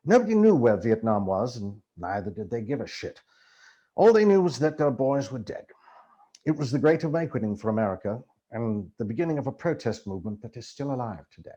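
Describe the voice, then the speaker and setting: Theatrical man with very animated pitch. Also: fairly quick, muffled recording. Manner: theatrical, expressive delivery. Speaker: amateur reader and voice actor. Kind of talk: dramatic book reading to camera.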